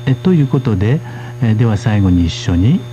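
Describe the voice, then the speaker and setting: A man hosting a radio language course, speaking in a polite, steady way as he interprets for his guests. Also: fairly low pitch, fast talker, highs cut off, slight background noise. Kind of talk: radio lesson presenting.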